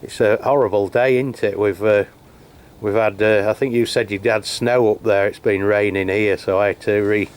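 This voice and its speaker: A man's voice: on the low side, normal speed, clear, resonant tone. A man hosting a radio test, chatting casually and hesitantly outdoors in the rain, caught on a camera mic. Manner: relaxed, hesitant, many fillers. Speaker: channel host testing CB radios. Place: outdoors on a rainy hilltop. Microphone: camera mic while holding a handheld radio.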